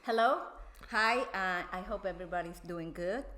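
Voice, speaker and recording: A Venezuelan woman speaking English as a second language, explaining things to a show host in a halting way with many fillers. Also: fairly low pitch, sharp tone. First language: Spanish. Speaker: guest. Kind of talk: casual explanatory chat.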